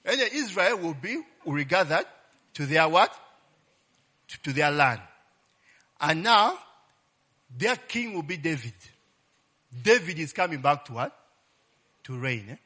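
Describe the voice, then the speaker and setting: A man's voice: on the high side, sing-song, a little slow, long pauses, bright, forceful tone, slightly rough. A man preaching a casual Bible-teaching sermon, often ending phrases with "eh?" and crying "Glory be to God". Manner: call-and-response, frequent "eh?" tag questions; exclaims "Glory be to God" and "Hallelujah".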